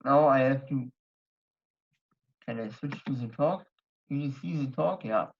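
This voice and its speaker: A man's voice: medium pitch, unhurried. A young man from Germany speaking English with a German accent, giving a hesitant online talk full of fillers and restarts. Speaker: presenter at a society members night. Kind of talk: casual online talk with slides. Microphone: computer mic on a video call. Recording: dull lo-fi video call audio.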